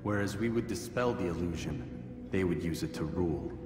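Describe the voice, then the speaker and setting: An actor playing a solemn, earnest young assassin who speaks in a measured, formal way in a video game cutscene. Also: male voice, low voice, reverberant, in a large hall, over music, close to an everyday voice. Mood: earnest, resolute.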